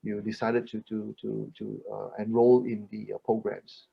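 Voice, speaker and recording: A man hosting a panel webinar, speaking haltingly with many fillers, repeated words and tag questions. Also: fairly low pitch, little pitch movement, creaky, husky, highs cut off. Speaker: webinar moderator.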